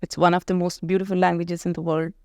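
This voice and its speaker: A middle-aged woman from India with an Indian accent and Hindi as her first language, talking as a poet and author guest in a thoughtful interview, pausing often to find her words. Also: on the low side, little pitch movement, darkish tone, clean tone, no room sound, clean studio sound. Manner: thoughtful, searching for words with frequent pauses. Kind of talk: reflective conversational interview.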